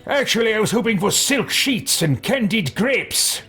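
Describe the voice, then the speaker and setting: A man's voice: high-pitched, expressive, moderate pace, pushed and bright, husky, soft background music, voice pushed up, exaggerated voice. A man voicing a brash, grumbling adventurer hero for a fantasy game, declaiming theatrically. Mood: sarcastic.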